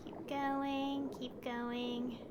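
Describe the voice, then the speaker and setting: A woman's voice: high voice, little pitch movement, extremely slow, noisy background. A woman streamer giving casual, rambling video game commentary, often interrupting herself. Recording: game music behind the voice. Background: video game music.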